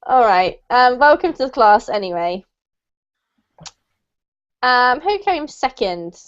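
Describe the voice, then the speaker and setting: A British woman leads an online English class in a high, cheerful voice, laughing often as she greets her students. The audio sounds dull and lo-fi, like a video call. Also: very animated, slow. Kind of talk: casual online class chat. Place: home room in New Zealand.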